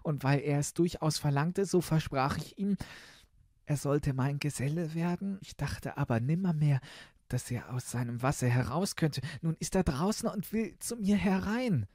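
A man's voice: fairly high pitch, lively intonation, normal speed, darkish tone, dry recording, studio quality, voice pushed up. A man voicing a petulant young princess in a fairy tale, with a plaintive manner. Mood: pleading, distressed. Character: young princess, petulant and tearful, pleading with father.

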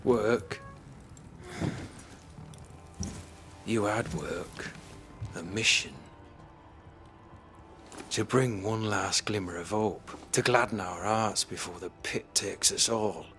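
An actor playing a despairing, fatalistic fort captain in a video game, speaking in formal, doom-laden phrases with bitter grandeur. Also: male voice, lowish voice, fairly bright tone, soft background music. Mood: weary despair. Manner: slow, grim, doom-laden formal speech. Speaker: military officer character in a video game. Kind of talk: dramatic video game acting.

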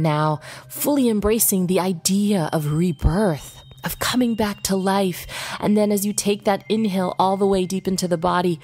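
A woman leading a guided meditation in an unhurried way, pausing between short phrases. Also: on the low side, a little mellow.

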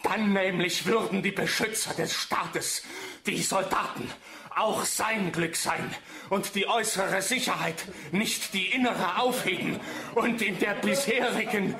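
High-voiced zealous theorist. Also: male voice, measured pace, sharp tone, exaggerated voice. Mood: fervent theorizing. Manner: declaiming, idealistic. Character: earnest, zealous officer with grand theories. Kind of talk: radio drama acting. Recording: people laughing, crowd behind.

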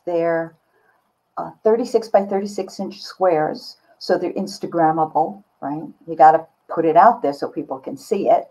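An older woman speaks as an artist being interviewed, in a candid, chatty way. Her voice is mellow and sits a little low. The sound is a slightly muffled video call. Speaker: guest artist. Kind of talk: casual reflective interview talk. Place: book-lined home studio room, indoors.

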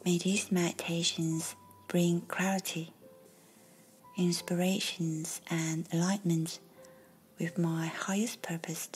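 A woman leading a guided meditation in a soft whisper, slow and calm. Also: fairly low pitch, poor audio. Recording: faint music behind.

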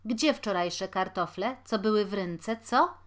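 A woman voicing a harried poor mother who scolds loudly and pleads tearfully. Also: mid-range voice, unhurried, lightly acted. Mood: scolding.

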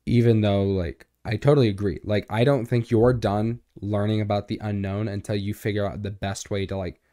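A young man co-hosting a podcast in a small home studio, with a deep, boomy voice and a relaxed, rambling way of talking full of fillers. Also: moderate pace, dry recording. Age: young adult. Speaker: podcast co-host. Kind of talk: casual chat. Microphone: studio mic on boom arm.

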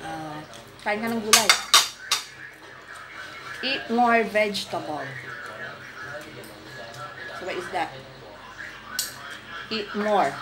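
Slow, playful Filipino woman at dinner. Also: mid-range voice, over loud music. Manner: playful, laughing, switching between Tagalog and English. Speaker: vlogger. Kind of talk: casual mukbang chat, quizzing her cousin. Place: home dining room at a table. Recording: clattering dishes and cutlery nearby.

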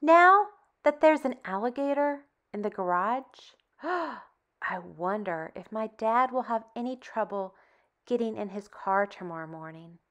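A woman hosting a storytime for young children, reading and chatting in an animated, expressive, deliberate way. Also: a little high. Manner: animated, expressive, deliberate phrasing with dramatic hushes. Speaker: storytime host. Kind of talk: children's read-aloud, addressing young children.

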